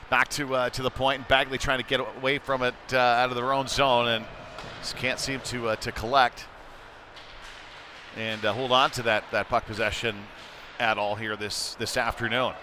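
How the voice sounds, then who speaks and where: A man's voice: mid-range voice, pushed and bright, a little hiss or hum. A man doing live play-by-play commentary of a youth hockey game, with conversational, somewhat hesitant phrasing between plays. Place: indoor ice rink.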